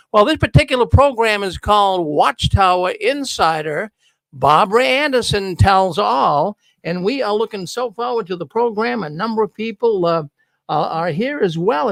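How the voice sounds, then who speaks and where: An older man with a Boston accent runs the show with folksy, chatty warmth toward his listeners. His voice sits high and carries a gravelly rasp. He's close on a studio mic in a dry, dead room. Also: no room sound, low-quality recording. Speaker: host. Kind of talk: casual show hosting. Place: studio with neon signs. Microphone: broadcast mic on boom arm.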